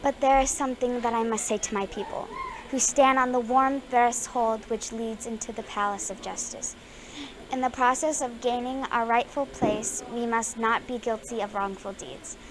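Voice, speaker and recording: Slightly high-voiced female student reader. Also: fairly even intonation, normal speed, poor audio. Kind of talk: reading a speech aloud.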